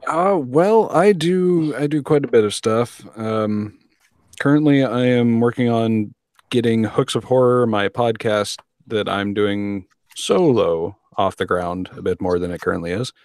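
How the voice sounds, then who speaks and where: A man speaking casually as a podcast guest host, with hesitant, measured phrasing. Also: medium pitch, a little mellow, no echo, studio quality. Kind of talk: casual chat.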